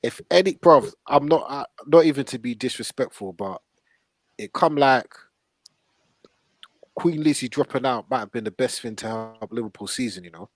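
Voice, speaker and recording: Male football podcast host, mid-pitched, blunt slangy chat, very dry recording. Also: expressive, normal speed, dry recording. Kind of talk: casual football panel chat.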